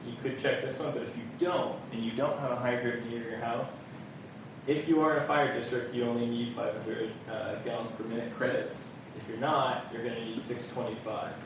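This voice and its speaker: A man on permit staff walking an audience through building forms, in a casual manner that leans on "kind of". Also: mid-range voice. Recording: echoey room, heard from far off, background noise.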